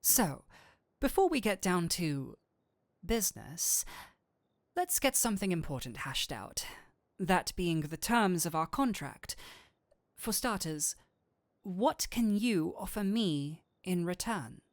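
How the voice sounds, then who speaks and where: A man plays a seductive, teasing incubus demon speaking straight to the listener in an audio roleplay. His delivery is theatrical and very slow, lingering over words with a flirtatious lilt. The voice sits close and dry on the mic. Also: high voice, big pitch swings, no room sound, full character voice. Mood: coaxing, businesslike. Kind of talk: scripted roleplay audio drama, addressing the listener.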